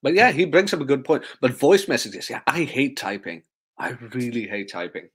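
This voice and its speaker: A man's voice: mid-range voice, big pitch swings, normal speed, fairly bright tone, breathy edge. A man hosting a casual podcast chat, easygoing and reading out listener questions. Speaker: podcast host.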